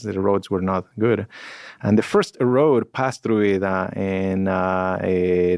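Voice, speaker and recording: A man's voice: on the low side, vocal fry, a little mellow, no echo, clean studio sound. A man, a guest in a podcast interview, talking in a reflective way and searching for words. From Ecuador. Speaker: guest scientist.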